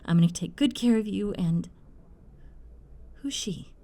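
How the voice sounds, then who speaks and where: A woman's voice: medium pitch, expressive, a little slow, mellow. A woman playing a doting, worried mother fussing over a grown son in an audio roleplay.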